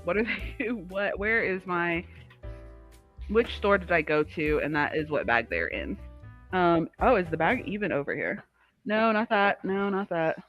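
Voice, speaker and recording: Woman livestream host, real bright crisp tone, rambles and gets sidetracked, casual craft chat, bit of music in the recording. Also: lowish voice, lively intonation, measured pace.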